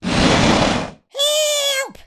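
An excitable storybook witch cries out extremely high. Each short word is drawn long, shrill and piercing. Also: extremely slow, exaggerated voice. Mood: frightened. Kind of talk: storybook character read-aloud.

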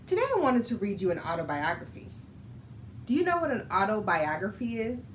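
An American woman in her thirties hosting a children's storytime, speaking in an instructive, expressive read-aloud style. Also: medium pitch, brisk, slight background noise, poor audio. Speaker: children's storytime host and reader. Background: music.

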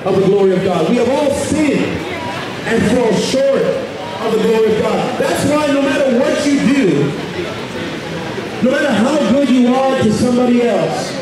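A man in his thirties preaching in the open air on a city street at night into a handheld microphone, often raising his voice to a shout. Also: extremely high pitch, animated, measured pace, echoey room, crowd noise, low-quality recording. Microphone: handheld microphone through a street speaker.

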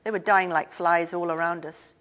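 A woman's voice: on the low side, steady tone, moderate pace, fairly bright tone, close to an everyday voice. A woman reading the part of an older, wealthy Irish-born theatre man who talks in a confiding, lilting way. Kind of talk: audiobook character reading.

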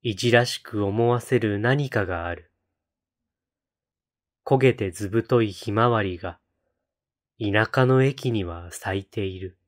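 Low-pitched man reciting poetry very slowly. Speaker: poetry reader on a read-aloud channel.

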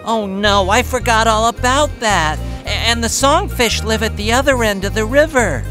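A woman's voice: fairly high pitch, moderate pace, over music, natural voice. A woman voicing an earnest, well-meaning young male elephant in a children's cartoon, with a conscientious manner. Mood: worried.

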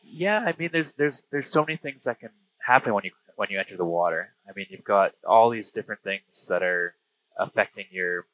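A man's voice: fairly low pitch, lively intonation, fairly quick, a bit bright, dry recording, poor audio. A man in his thirties with a western Canadian accent, telling stories casually in an interview over an aviation headset mic. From British Columbia, Canada. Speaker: interview guest, diver and filmmaker. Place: small plane cockpit in flight. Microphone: aviation headset boom. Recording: close aircraft headset intercom.

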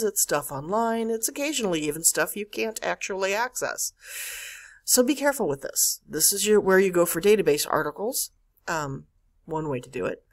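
A woman narrating an instructional screencast as a librarian, explaining step by step with emphasis on key words. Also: lowish voice. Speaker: college librarian giving a catalog tutorial. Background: screen-recorded voice-over.

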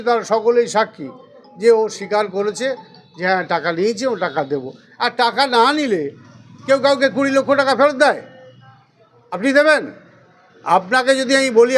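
A high-pitched man gives a statement to the press. He is emphatic and defensive, pushing his points with rhetorical questions. There is faint noise in the background. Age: 60s. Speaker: local politician answering a reporter. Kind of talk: news interview statement, rebutting accusations.

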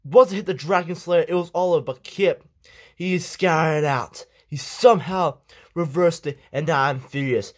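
A man's voice: a little high, moderate pace, natural voice. A man voicing an angry male pro wrestler who rants indignantly in a fan-made wrestling roleplay. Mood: furious. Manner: ranting, indignant.

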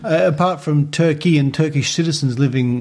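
A man hosting a podcast interview in a relaxed, jokey way, chuckling as he asks questions while seated at a table. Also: a little high, brisk, a crowd in the background. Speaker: podcast host. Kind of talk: casual interview. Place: at a table with drinks being mixed. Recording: noisy background with clicks.

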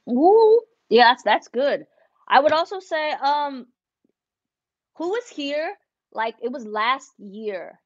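A woman's voice: sits high, very animated, slow, no room sound, dull audio. Chatty young woman vlogging from her studio. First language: Spanish. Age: young adult. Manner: chatty, switches between English and Spanish. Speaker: embroidery business vlogger. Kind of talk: casual livestream chat. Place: embroidery studio room, indoors. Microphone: wireless earbuds.

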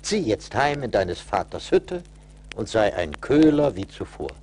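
A solemn, forgiving forest spirit in a fairy-tale radio play. He admonishes in a low, slow voice with broad melodic swings. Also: sing-song, darkish tone, a little hiss or hum. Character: stern but forgiving little glass forest spirit. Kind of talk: theatrical radio play acting.

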